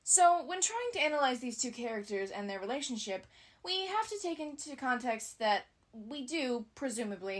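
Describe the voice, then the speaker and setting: Casting: female video essayist, highish voice, very animated intonation, scripted commentary with casual asides.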